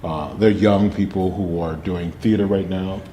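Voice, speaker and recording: Booming older man telling stories. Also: measured pace, muffled recording. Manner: animated storyteller, laughs often. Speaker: actor and drama teacher. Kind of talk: interview storytelling. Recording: roomy with faint noise.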